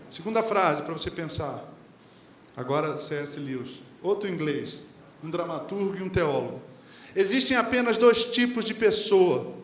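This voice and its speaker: Brazilian pastor guy preaching, kinda high voice, echoey church hall, faint room hum behind. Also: animated, normal speed. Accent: Brazilian Portuguese. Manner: conversational preaching, quotes lyrics and sayings, asks the congregation questions. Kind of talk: sermon.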